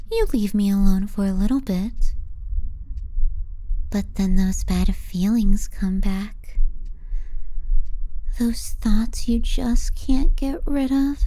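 Girl doing a clingy manipulative little sis for asmr, super slow breathy whispery talk, really mellow and dark, kinda mocking. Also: fairly high pitch. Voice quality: soft, breathy.

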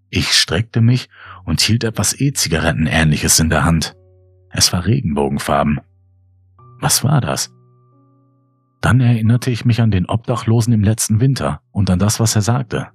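Low, very husky male horror narrator. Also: no echo. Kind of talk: dramatic scripted audiobook narration.

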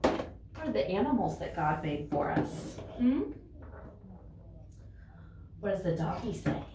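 A woman's voice: medium pitch, big pitch swings, slow. A middle-aged woman teaching a small child in a Bible class, using simple, repetitive, child-directed speech in a small classroom. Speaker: children's Sunday school teacher. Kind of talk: singing and talking to a toddler in a Bible class. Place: small indoor classroom with drop ceiling. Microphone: camera across the table, off to the side. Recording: roomy, distant mic with clear background noise.

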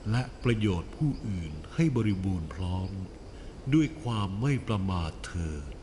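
An actor voicing the Buddha as a calm male teacher, giving a solemn and measured admonition to his monks. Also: fairly low pitch, a little hiss or hum. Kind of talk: animated film dialogue.

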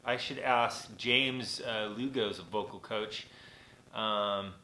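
A man in his forties talks loosely to his live-stream viewers, full of ums and restarts. His nasal voice has a bright, forward edge. The room around him rings a little. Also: fairly low pitch, takes it slowly, roomy sound, poor audio. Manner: rambling, relaxed, many fillers and restarts. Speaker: vlogger and mixing engineer. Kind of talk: casual live-stream chat. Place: small home recording studio. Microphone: camera on desk.

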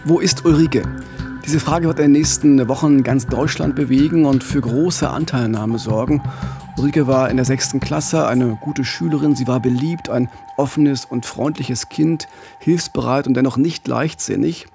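Gravelly middle-aged man telling true crime. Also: mid-range voice, moderate pace, over music. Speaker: true-crime podcast co-host. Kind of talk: podcast storytelling. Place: small radio studio. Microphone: close studio mic with pop filter.

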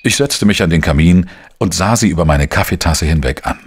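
Sigi Baumeister, low-voiced weary journalist. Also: male voice, fairly quick, husky. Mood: reflective narration. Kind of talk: crime radio play, narration and dialogue.

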